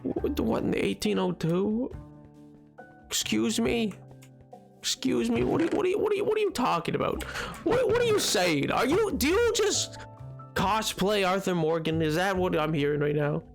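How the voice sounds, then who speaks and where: Hoarse guy streaming a game, kinda high voice, rambly and excitable, quiet music in the back. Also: moderate pace. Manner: rambling, excitable, jumps between topics. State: hoarse from a cold. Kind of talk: casual chat with stream chat while gaming.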